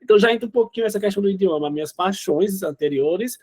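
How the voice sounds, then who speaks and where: Rapaz nordestino de uns vinte e poucos anos, voz aguda, conversa solta de entrevistado. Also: male voice, high-pitched, quick pace, darkish tone, slightly rough. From Northeast Brazil. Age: 20s. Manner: chatty, often says "né?" and "entendeu?". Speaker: interview guest. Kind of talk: casual interview chat.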